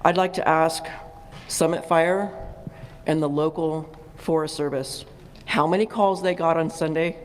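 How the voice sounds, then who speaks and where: A man's voice: on the high side, fairly even intonation, a little slow. A man addressing officials with questions as a resident at a public community meeting. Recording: echoey, noisy meeting hall.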